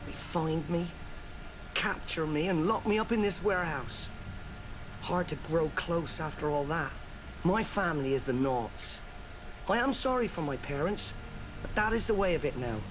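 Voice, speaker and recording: An actor playing a frank young captive sailor in a video game, plain-spoken and resigned. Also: male voice, a little high. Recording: clear background ambience noise.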